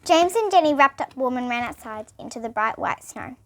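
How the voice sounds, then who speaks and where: Child storybook reader, female: high, lively, expressive bedtime narration with small stumbles. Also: brisk, noisy background.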